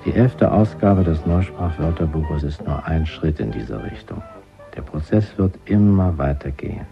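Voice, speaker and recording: An actor playing a zealous party intellectual in a radio drama, lecturing in a deliberate, emphatic way. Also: male voice, extremely low pitch, creaky, full character voice. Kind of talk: German radio drama acting. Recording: dull lo-fi radio recording, music behind.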